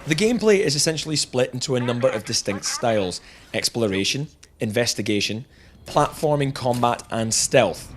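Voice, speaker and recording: A man's voice: medium pitch, a little hiss or hum. A man narrating a scripted video game review with jokey, chuckling asides.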